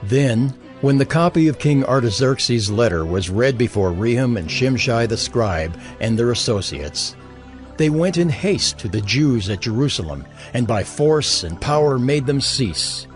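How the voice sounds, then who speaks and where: Expressive male scripture narrator. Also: mid-range voice, measured pace, soft background music.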